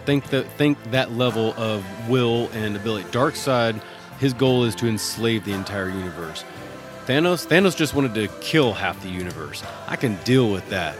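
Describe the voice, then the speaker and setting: A male podcast host with a fairly low voice chats informally and jokily about pop culture. His talk is loose and conversational, with faint music playing under him. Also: animated, moderate pace. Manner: jokey, chuckling, informal. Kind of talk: casual solo podcast chat with pop-culture commentary.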